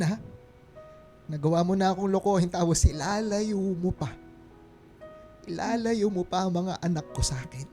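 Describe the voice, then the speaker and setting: A wronged young husband in a Filipino radio drama. His voice sits high, full of hurt, accusation and pleading, with music playing underneath. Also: mellow. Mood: quiet, wounded. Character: betrayed, anguished young husband and struggling father. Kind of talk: Filipino radio melodrama acting. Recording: music bed behind the voice.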